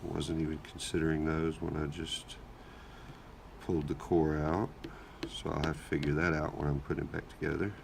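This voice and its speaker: A man with a very deep, gravelly voice, still recovering from a cold, talks through his work at an easy pace as if thinking aloud. A faint hiss sits under the recording. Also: moderate pace. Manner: relaxed, thinking aloud while working. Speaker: lockpicking channel host. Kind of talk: casual hands-on narration.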